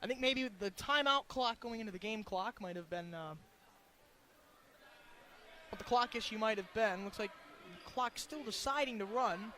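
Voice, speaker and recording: A man giving energetic live radio play-by-play of a basketball game in clipped, running descriptions. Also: high-pitched, moderate pace, pauses often. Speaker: play-by-play announcer. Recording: live gym broadcast, clear background noise. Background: gym ambience with bouncing basketballs.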